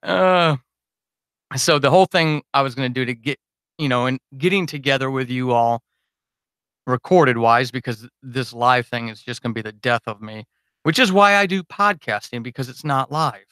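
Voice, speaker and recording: A man's voice: mid-range voice, moderate pace, fairly bright tone, smooth, no echo. A man chatting casually and unscripted to his supporters on a livestream, rambling, informal and self-deprecating as a podcast host.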